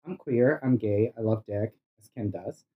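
A man's voice: on the low side, moderate pace, narrow, tinny audio. A man from Brazil with a Brazilian Portuguese accent, a podcast guest chatting in a bedroom, bawdy and playful, laughing often. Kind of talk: casual podcast chat.

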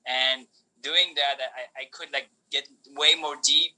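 A man whose first language is Polish, talking as a podcast guest in an animated way, with many restarts and fillers. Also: mid-range voice, slow pace, low-quality recording. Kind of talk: casual conversation.

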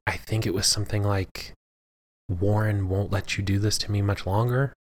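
Casting: man to play ancient towering demon for audio fiction; deep, hesitant, quiet delivery, burdened and conflicted. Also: flat delivery, close to an everyday voice. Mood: guilty. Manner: halting, quiet. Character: anguished seven-foot centuries-old male demon, conflicted, confessing.

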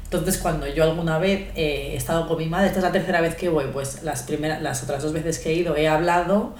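A young woman with a Spanish accent from Spain, talking in a relaxed, thoughtful way as a podcast guest, with many pauses and fillers. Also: sits low, echoey room. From Ecuador, raised in Spain. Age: young adult. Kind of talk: casual podcast conversation.

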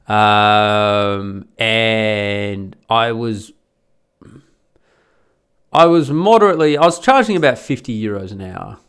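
A man's voice: mid-range voice, a bit bright, smooth. An Australian man talking in a blunt, chatty way, with lots of restarts and the odd swear word, giving advice in a casual monologue.

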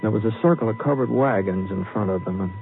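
An actor playing a folksy, conversational Western storyteller in a radio drama, on a hissy, tinny 1940s broadcast. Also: male voice, fairly low pitch, fast talker, soft background music, lightly acted. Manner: homespun, conversational. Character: folksy Western storyteller narrating a Christmas tale. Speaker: narrator.

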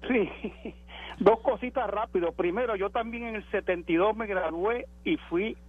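High-voiced Puerto Rican man in his sixties, phoning. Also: moderate pace, slightly rough. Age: 60s. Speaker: radio show caller. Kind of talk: casual, polite call-in talk. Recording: dull lo-fi phone call.

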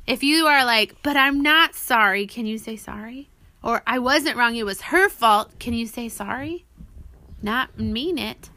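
A woman narrating an audiobook she wrote, pausing to prompt and question as she teaches a child. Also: a little high, sharp tone, no room sound. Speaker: author reading own book aloud and parent.

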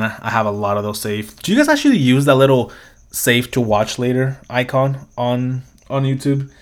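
A young man hosting a solo podcast, talking in a casual, rambling way, swearing freely and laughing often. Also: mid-range voice. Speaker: podcast host.

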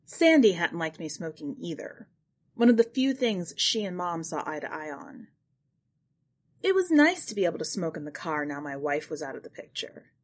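A woman narrating literary short fiction from a script, with expressive, dramatic phrasing. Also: on the low side, moderate pace. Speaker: podcast host and fiction narrator.

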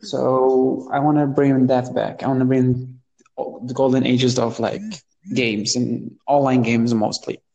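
A man's voice: medium pitch, level tone, takes it slowly, gravelly, mellow, muffled recording. Hesitant young Moroccan man, reverberant sound. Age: 20s. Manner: hesitant, searching for words. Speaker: interview guest. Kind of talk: casual interview conversation.

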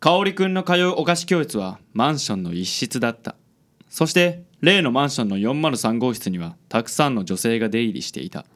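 A man plays a self-consciously handsome gentleman in a radio drama. He is pompous and gallant, delivering florid phrases in an affected manner at a middling pitch. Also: big pitch swings, fairly quick. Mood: knowing. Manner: affected, florid, sprinkles English words. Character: suave, pompous handsome gentleman at detective agency, theatrical, gallant.

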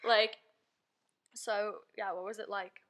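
Casting: podcast host, woman, bright clear voice, curious and loose, lots of "like" and "I mean". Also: medium pitch, flat delivery, slow. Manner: curious, asks questions, uses filler words. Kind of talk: casual chat.

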